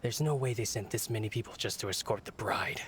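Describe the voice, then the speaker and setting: A man's voice: fairly low pitch, brisk, a little hiss or hum, close to an everyday voice. A man voicing a loyal young male retainer in a video game, polite, earnest and a little anxious. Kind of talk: game voice acting.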